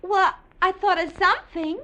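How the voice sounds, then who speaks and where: A woman playing a timid, forgetful Martian who talks haltingly, in an old-time radio drama. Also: extremely high pitch, sharp tone, lo-fi sound. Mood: bashful. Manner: halting, unsure.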